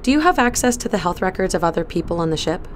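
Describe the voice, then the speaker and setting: An actress playing a quiet, resolute young woman on a spaceship crew. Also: on the low side, very animated, fast, slight background noise. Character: determined young female spaceship crew member.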